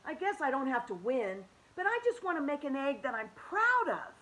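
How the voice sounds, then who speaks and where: A woman voicing an eager little boy rabbit in a storybook, speaking brightly and earnestly. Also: sits high, very animated, normal speed, pitched above the performer's own voice, poor audio. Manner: bright, earnest and wide-eyed.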